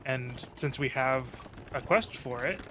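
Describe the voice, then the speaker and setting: A man's voice: mid-range voice, unhurried, fairly bright tone, a little hiss or hum. A man in casual gaming chat, explaining details to his friends in an informal way.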